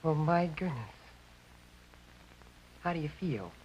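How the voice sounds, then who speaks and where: A middle-aged woman playing a warm, attentive American mother in a 1950s educational film, homely and encouraging. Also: sits low, lively intonation, slow pace, breathy edge, exaggerated voice. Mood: tender, encouraging. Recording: dull, faintly noisy 1950s film soundtrack.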